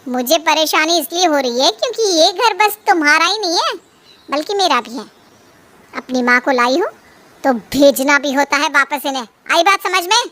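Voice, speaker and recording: A woman voicing a scheming, mocking elder daughter-in-law in an animated family story. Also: high voice, normal speed. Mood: scornful. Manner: taunting and sneering.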